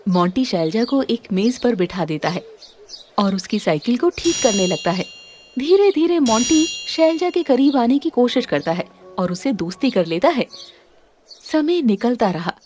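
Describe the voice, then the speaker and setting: A woman's voice: a little high, expressive, measured pace, darkish tone, slight background noise. A woman reading a scripted moral story as its narrator, with music under her voice.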